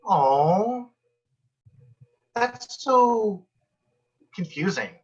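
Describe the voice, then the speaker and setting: A man's voice: sits high, expressive, very slow, slightly rough, exaggerated voice. A man playing a puzzled, mildly skeptical co-worker in a sitcom table read, heard over a dull video call.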